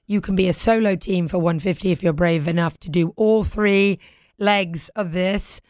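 A British woman hosting a talk show in casual, playful chat, with a husky voice recovering from being lost. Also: on the low side, moderate pace, a little mellow, no echo, studio quality. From Britain. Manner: playful, bantering.

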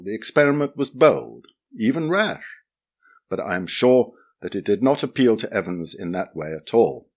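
A man giving a measured, expressive reading of a literary memoir essay. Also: sits low, slightly rough.

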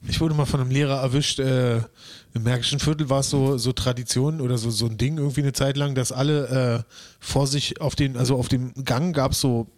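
A man's voice: medium pitch, fairly even intonation, fairly quick, a little mellow. A man chatting casually on a podcast in a crude, playful, slangy way. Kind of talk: casual, slangy joking chat.

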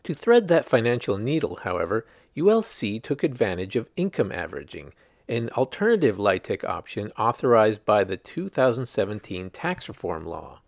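A man's voice: fairly low pitch, sing-song, moderate pace, gravelly, no room sound, studio quality. A man reading a news article aloud as a scripted narration, in the manner of a reporter presenting a written story.

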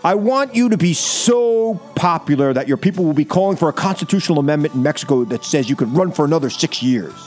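A man playing a bombastic, boastful American president in a comedic reading, halting and repeating himself. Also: on the high side, animated, darkish tone, soft background music, close to an everyday voice. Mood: conciliatory. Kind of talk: comedic dramatic reading over music.

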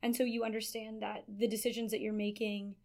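A woman's voice: mid-range voice, little pitch movement, brisk, a little mellow. A twenty-year-old woman student who answers interview questions in an earnest, thoughtful way. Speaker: theology student.

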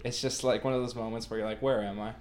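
A man's voice: lowish voice, steady tone, fast talker, a little mellow, a little hiss or hum. Easygoing guy around twenty, laughs a lot, just shooting the breeze. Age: 20s. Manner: laid-back storytelling, laughs often. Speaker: podcast co-host. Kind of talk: casual chat.

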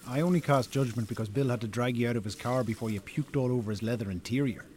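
An actor playing an exasperated, dry-tongued Irish brother chiding a drinker in a naturalistic radio drama. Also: male voice, mid-range voice, quick pace, darkish tone, natural voice. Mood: reproachful.

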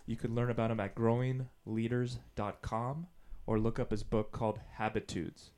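A man co-hosts a podcast, dropping in dry interjections and reading out details plainly. His voice is mid-pitched. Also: little pitch movement, normal speed, a little mellow, clear, resonant tone. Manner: dry interjections, reads out info. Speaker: co-host. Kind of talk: casual chat.

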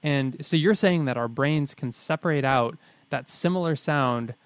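A man's voice: medium pitch, a little slow, dry recording. Dry-sounding wry man co-hosting. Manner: wry, jokey asides, restates ideas. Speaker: podcast co-host. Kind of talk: casual explanatory chat.

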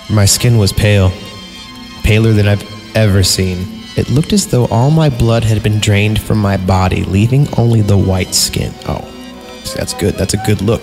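A man hosting a horror-story podcast, reading in a mock-dramatic style and given to sarcastic comic asides. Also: medium pitch. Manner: sarcastic, breaking off from narration into giggly asides. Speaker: podcast host reading creepypasta. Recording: reverberant voice over background music. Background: music.

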